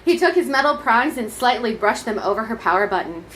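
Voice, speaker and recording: A woman's voice: fairly high pitch, lively intonation, fairly quick, a bit bright, slight background noise, poor audio. A woman reading a comic story aloud at a live show, as a storyteller in a comedy troupe.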